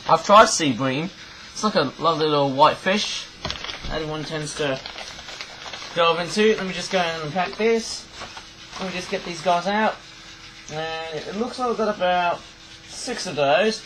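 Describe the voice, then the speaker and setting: A young man talks into a webcam in his bedroom, rambling and restarting his sentences. His voice is a touch high and bright, though croaky today. A faint room hum sits behind him. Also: poor audio. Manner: rambling, repeats himself, many fillers and restarts. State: croaky voice, coughing. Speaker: vlogger reviewing craft toys. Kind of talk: casual rambling review. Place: cluttered bedroom, indoors. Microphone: webcam at desk.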